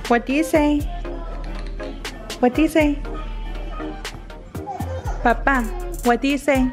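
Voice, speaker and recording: A woman's voice: high-pitched, fairly even intonation, slow, music in the background. A young woman chatting to her camera at arm's length in her home kitchen, and talking baby talk to her toddler. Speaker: vlogger. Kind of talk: casual home cooking vlog. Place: home kitchen and living room, indoors. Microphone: handheld camera at arm's length.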